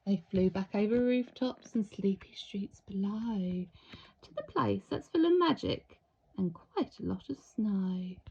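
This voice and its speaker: A woman reading a children's storybook aloud with theatrical, deliberate, expressive storytelling. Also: medium pitch, vocal fry, slightly rough, lo-fi sound. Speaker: storybook reader.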